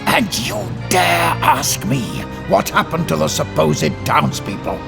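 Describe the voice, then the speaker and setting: A man's voice: on the high side, lively intonation, a little slow, vocal fry, music in the background, heavily acted. An actor playing a pompous, menacing old mad scientist and father in an old-time radio melodrama, grandiose and theatrical. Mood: indignant, accusing.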